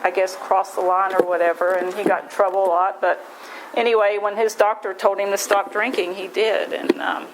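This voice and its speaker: A woman's voice: mid-range voice, little pitch movement, moderate pace, a little hiss or hum, poor audio. Rambling West Virginian woman sharing her story. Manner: rambling, folksy, self-deprecating, frequent restarts. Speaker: speaker at a recovery meeting. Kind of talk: personal recovery share.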